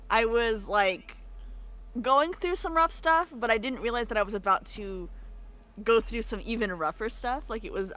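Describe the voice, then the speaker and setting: Young lady on a stream, highish animated voice, jokey n giggly, casual storytelling. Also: moderate pace. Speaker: voice actor, livestream guest. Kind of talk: casual livestream chat.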